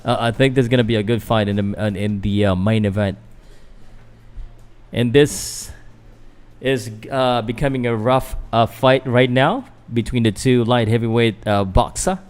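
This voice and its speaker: A man's voice: mid-range voice, a little slow, a little mellow, smooth. A young man from the Philippines giving loose live commentary on a boxing fight, full of fillers, chatting with viewers as he goes. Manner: casual, hesitant, many fillers, answers chat. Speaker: boxing commentator and channel host.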